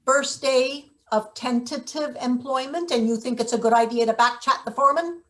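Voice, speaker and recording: Woman playing a sardonic, high-voiced older forewoman. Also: moderate pace, fairly bright tone. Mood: dry, sarcastic. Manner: sarcastic, wordy, dry. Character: sardonic, hard older forewoman of maintenance workers. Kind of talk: live table read of a satirical play.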